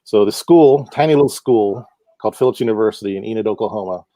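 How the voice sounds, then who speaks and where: A man's voice: lowish voice, quick pace, no echo. A middle-aged man from Hawaii, a guest talking easily in a casual interview, with lots of fillers and frequent laughs. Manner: relaxed, laughs often, many fillers. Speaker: guest, comic book artist. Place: home.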